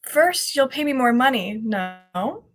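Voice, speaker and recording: A woman's voice: high-pitched, sing-song, slow, full character voice. A woman playing a charming, greedy young café proprietor of the underworld in a radio drama, teasing and wheedling. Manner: wheedling, playful haggling. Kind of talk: 1940s radio drama acting.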